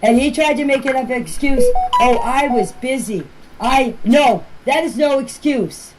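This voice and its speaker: A woman's voice: high voice, unhurried, over loud music, rough recording. A woman talking casually and plainly, as if leaving voice messages for a group chat, in an amateur recording. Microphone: voice clips recorded for a group chat.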